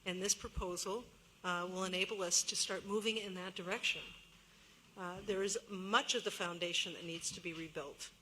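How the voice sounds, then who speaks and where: A woman speaking at a public meeting hall, explaining figures in a measured, careful way and often pausing on fillers. Her tone is bright and forward. A light hum of room noise runs behind her. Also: fairly low pitch, steady tone. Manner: measured, with many fillers and restarts. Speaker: school administrator answering questions.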